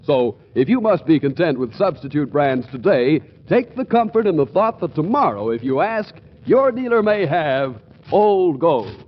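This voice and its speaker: High, animated male 1940s radio announcer. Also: moderate pace. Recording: muffled old radio broadcast, music behind.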